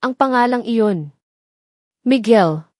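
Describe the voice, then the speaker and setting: A woman voicing a hurt, bewildered young woman in love, questioning softly and with a tremble in a romance story. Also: fairly high pitch, lively intonation, slow, dry recording, clean studio sound.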